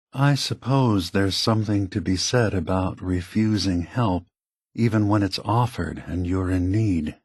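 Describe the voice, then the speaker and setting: A low, husky synth leader. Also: male voice, darkish tone, full character voice. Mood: firm. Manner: measured, deliberate, formal. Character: male prototype synth android leader, calm, measured, burdened. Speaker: game character guiding the player. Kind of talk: scripted video game voice acting.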